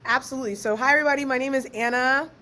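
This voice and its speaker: A fairly high-voiced young woman talks with a podcast host. She is lively and slangy and laughs often. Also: very fast. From Ghana, raised in Maryland. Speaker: guest, author and researcher. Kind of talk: casual podcast interview.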